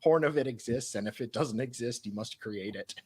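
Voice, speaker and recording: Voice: medium pitch, a little fast, a crowd in the background. A livestream panelist talking and reading aloud in a blunt, informal way. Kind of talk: casual group chat with reading aloud.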